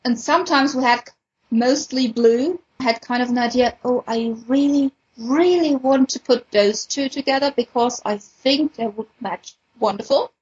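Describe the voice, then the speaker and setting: A woman's voice: on the high side, measured pace, husky, dull audio. A woman explaining things casually as a moderator on a podcast panel.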